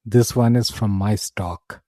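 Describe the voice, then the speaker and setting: A man's voice: fairly low pitch, slow, mellow, dry recording, clean studio sound. A man voicing a jolly, curious wine merchant and tavern regular in a fantasy audio story. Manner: curious, encouraging.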